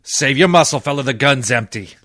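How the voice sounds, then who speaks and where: An actor playing a tough, cynical, wisecracking private detective in old-time radio style, deadpan and sardonic. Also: male voice, mid-range voice, fairly quick, heavy background noise, natural voice. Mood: tough, threatening. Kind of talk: old-time radio detective acting with first-person narration.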